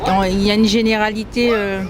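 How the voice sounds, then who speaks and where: A woman in a street interview, giving a measured, even-handed answer. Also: medium pitch. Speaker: passer-by. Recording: street crowd and music.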